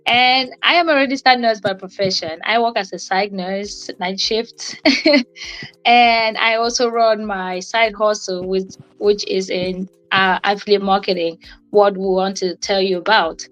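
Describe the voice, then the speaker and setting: A woman's voice: mid-range voice, steady tone, unhurried, fairly bright tone, poor audio. A young adult woman giving an informal motivational talk on a livestream, conversational and repeating phrases for emphasis. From Cameroon. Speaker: co-host and guest speaker on a livestream.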